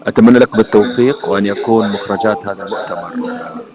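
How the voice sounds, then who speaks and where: A man giving a formal greeting speech, hesitant and halting. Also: fairly low pitch, steady tone, a crowd in the background. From Syria. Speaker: national president of a youth organization.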